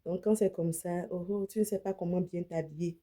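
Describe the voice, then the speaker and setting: Mellow low-voiced woman with a cold. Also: steady tone, fairly quick. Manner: chatty, mixes in English words. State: slightly stuffy with a cold. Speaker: beauty vlogger and image consultant. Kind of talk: casual wig unboxing demo.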